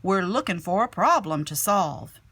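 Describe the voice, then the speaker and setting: A woman's voice: lowish voice, animated, a little slow, a bit bright, heavily acted. A woman voicing an upbeat, welcoming male schoolteacher in a storybook, with an encouraging manner.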